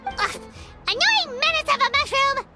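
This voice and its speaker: A woman voicing a tiny, excitable female companion in a video game, bubbly and loud. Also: extremely high pitch, moderate pace. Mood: excited. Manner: bubbly, loud, talks of herself by name. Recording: game dialogue over music.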